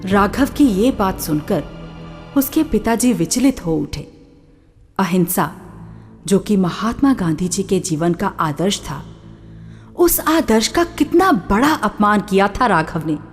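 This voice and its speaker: A woman's voice: mid-range voice, expressive, slow. A woman delivering dramatic scripted narration as the narrator of a radio drama. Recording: long reverb with music behind. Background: music.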